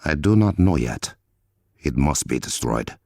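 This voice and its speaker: A man playing a noble, resolute warrior king in a video game, with an African accent, a deep gravelly voice and formal, measured diction. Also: animated, a little mellow, no echo, studio quality, full character voice. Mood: measured, confident.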